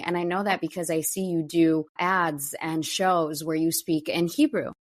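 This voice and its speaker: A woman hosting a podcast interview, asking questions and offering compliments. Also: deep voice. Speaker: podcast host. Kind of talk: casual interview.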